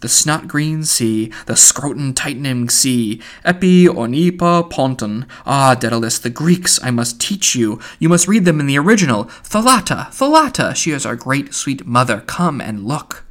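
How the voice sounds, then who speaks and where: A man's voice: medium pitch, very animated, normal speed, hardly any pauses, natural voice. A man reading the part of a theatrical, teasing young man in an audiobook, his voice swooping up as he mocks. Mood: mocking. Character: mocking, exuberant young man shaving.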